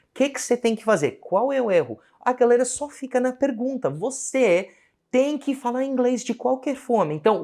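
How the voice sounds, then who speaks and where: Australian man, English teacher, speaks Portuguese with a foreign accent, animated delivery, lesson video. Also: sits high. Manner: animated, switches between Portuguese and slow English examples. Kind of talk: casual online lesson.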